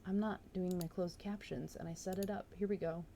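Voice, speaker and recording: A woman's voice: on the low side, steady tone, a little fast, dark tone. A woman reading a story aloud on a live stream and commenting on it casually. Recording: noticeable background noise.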